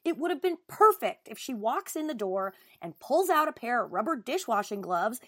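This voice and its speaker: A woman's voice: on the high side, very animated, moderate pace, a bit bright, no echo, studio quality. A woman guest reader giving a dramatic reading of a listener's email.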